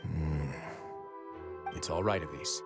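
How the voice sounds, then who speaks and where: An actor playing a calm, protective duke in a video game, speaking in a measured, authoritative way. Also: male voice, very deep voice, full character voice. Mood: reassuring, commanding. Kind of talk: dramatic video game voice acting. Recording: prominent music behind the voice.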